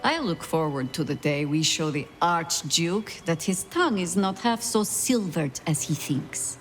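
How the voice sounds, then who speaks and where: An actress playing a wary, weary veteran spy in a video game, with dry, measured, formal delivery. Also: female voice, sits low, expressive. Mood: scornful, resolute. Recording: music behind the voice. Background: game music.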